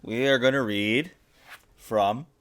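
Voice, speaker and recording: A father reading a picture book aloud to a young child, with expressive, dramatic emphasis. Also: mid-range voice, slow pace, smooth. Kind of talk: animated children's storybook narration. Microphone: USB desktop mic.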